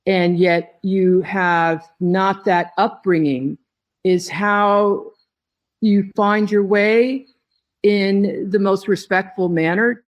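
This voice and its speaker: A woman giving a reflective interview as a guest, speaking in a halting, thoughtful way with phrases broken by pauses. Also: medium pitch, fairly even intonation, dull audio. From not originally from Hawaii, long resident there. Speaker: podcast guest, tea grower and company head.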